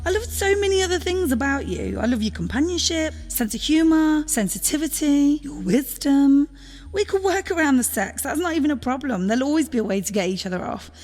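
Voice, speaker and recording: A woman's voice: high voice, big pitch swings, a little fast, a little mellow, in a large hall. A woman acting a loving, exasperated girlfriend in an audio drama, emotional, pleading and informal, with some swearing. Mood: reassuring, earnest. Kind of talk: naturalistic audio drama dialogue.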